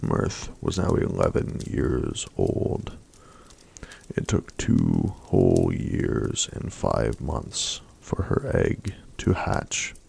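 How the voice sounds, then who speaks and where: A man reading a fantasy story aloud in a slow, soft-spoken ASMR style, much of it half-whispered. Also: huge pitch swings. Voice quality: very deep gravelly voice.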